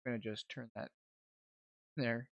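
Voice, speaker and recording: Young guy streaming, casual running commentary, medium pitch, close dry sound. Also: flat delivery, normal speed, gravelly, no echo. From Canada. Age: young adult. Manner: informal, thinking aloud. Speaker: livestream host, amateur day trader. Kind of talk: casual live trading commentary.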